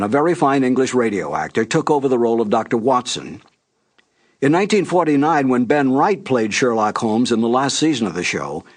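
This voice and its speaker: Man narrating with lively pitch. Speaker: host introducing a classic radio mystery series. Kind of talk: scripted narration.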